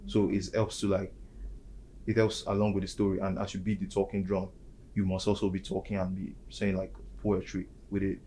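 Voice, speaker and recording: A man's voice: low-pitched, completely flat delivery, a little fast, dark tone, a little hiss or hum, rough recording. A young man, explaining things in a casual discussion and filling his talk with "like". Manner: explanatory, many fillers like "like". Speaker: student panelist.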